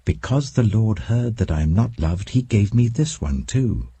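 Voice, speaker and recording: Man voicing wistful, husky wife Leah. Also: lowish voice, lively intonation, moderate pace, dark tone, voice pushed up. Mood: wistful hope. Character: Leah, unloved wife and mother, wistful, hopeful.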